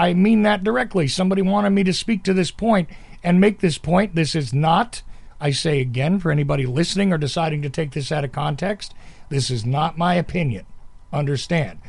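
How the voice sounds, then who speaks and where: Male podcast host, gravelly and a touch high, emphatic and rambling, dry close mic. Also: no echo. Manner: rambling, emphatic, self-interrupting. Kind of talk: conversational interview.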